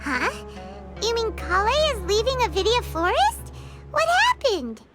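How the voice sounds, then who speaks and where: A woman voicing a tiny, excitable, childlike fairy companion in a video game, with an eager, squeaky delivery. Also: a little slow, over loud music, exaggerated voice. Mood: surprised. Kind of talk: scripted video game cartoon acting.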